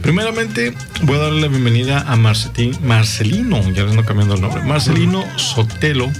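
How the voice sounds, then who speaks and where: A man hosts a live radio show from a studio, chatty and expressive in his delivery. Music plays clearly beneath his voice. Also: medium pitch, a little fast. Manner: warm, chatty, expressive, lively intonation. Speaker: radio host. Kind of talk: live university radio chat.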